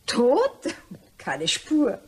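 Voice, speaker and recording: A woman's voice: on the high side, huge pitch swings, drawn-out words, darkish tone, a little hiss or hum, full character voice. A woman playing a nervous, evasive mademoiselle with guilty secrets in a German crime radio play, in a jumpy, theatrical and probing way. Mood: startled, feigned ease.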